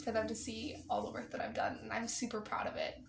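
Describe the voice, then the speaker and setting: A teenage female student performer speaking casually and excitedly in an interview. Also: on the high side, a little fast, vocal fry. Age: teens. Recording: roomy echo, faint noise.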